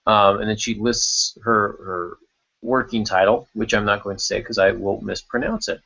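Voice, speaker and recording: A man's voice: on the low side, steady tone, moderate pace, vocal fry, bright, forceful tone, highs cut off. A man hosting a writing podcast in casual unscripted talk, thinking aloud and repeating words as he goes. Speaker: podcast host discussing writing craft.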